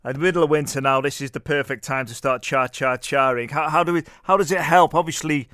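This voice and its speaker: A man's voice: a little high, brisk, fairly bright tone, smooth, no echo, clean studio sound. A man hosting a casual interview, asking chatty, friendly questions. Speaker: interview host.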